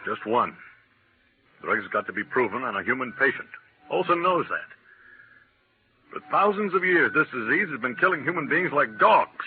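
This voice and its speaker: An actor playing an intense, smoothly manipulative research doctor in a 1940s radio drama. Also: male voice, medium pitch, very animated, normal speed, pauses often. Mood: bitter, fervent. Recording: muffled, band-limited 1940s radio recording.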